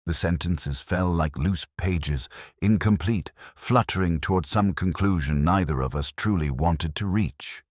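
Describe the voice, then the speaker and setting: A man narrating a mystery drama as a brooding, reflective detective inspector, in a measured, solemn and literary delivery. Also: sits low, dry recording, studio quality. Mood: reflective, sombre. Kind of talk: scripted first-person mystery narration.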